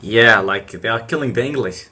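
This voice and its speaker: A young man whose first language is Arabic, hosting a casual conversation and speaking hesitantly with many pauses. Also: on the low side, bright, forceful tone. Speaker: podcast host.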